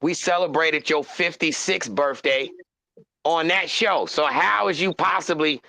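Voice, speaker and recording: A man's voice: fairly high pitch, measured pace, vocal fry, pushed and bright, no echo. A man who hosts a podcast, teasing and joking with his guests in casual banter. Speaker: podcast host. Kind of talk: casual chat.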